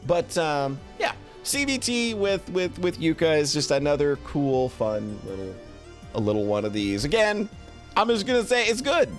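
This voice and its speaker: Animated, higher-voiced man reviewing casually. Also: soft background music. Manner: halting, with frequent self-corrections and repeated words. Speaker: streamer reviewing visual novels. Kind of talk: casual, unscripted ranking commentary.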